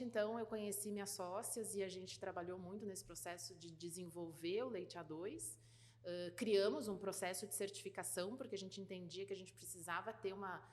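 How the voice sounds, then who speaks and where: A woman from southern Brazil with a light gaúcho accent, giving interview answers about her work and pausing often with fillers and restarts. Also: medium pitch, in a large hall. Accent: light gaúcho Brazilian Portuguese. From Rio Grande do Sul, Brazil. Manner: thoughtful, many fillers and restarts. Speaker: guest, food certification business partner.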